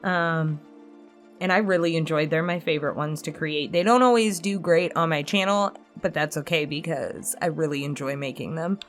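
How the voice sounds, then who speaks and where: A woman's voice: low-pitched, moderate pace. A woman in her late twenties chatting candidly and casually as she answers subscriber questions, rambling through personal stories. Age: 20s. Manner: candid, rambling storytelling with small chuckles. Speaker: ASMR channel host answering subscriber questions. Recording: music playing behind the voice. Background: instrumental music.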